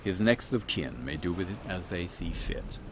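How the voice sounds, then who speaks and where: A man playing a pompous British army captain in a comic Victorian audio drama, gentlemanly and blustering. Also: low-pitched, expressive, normal speed, background noise. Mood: formal, courteous.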